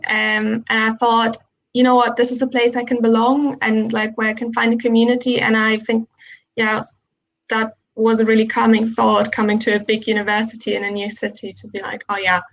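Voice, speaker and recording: Young woman, student, slightly high and gravelly, thoughtful and hesitant. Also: low-quality recording. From Germany. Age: young adult. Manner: thoughtful, hesitant, many ums. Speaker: undergraduate student panelist. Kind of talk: casual Q&A answers.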